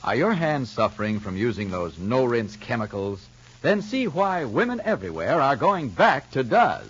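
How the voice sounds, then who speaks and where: A man's voice: mid-range voice, big pitch swings, moderate pace, slight background noise. A man narrating and announcing for an old radio soap, in a dramatic, persuasive delivery. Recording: dull lo-fi old radio recording.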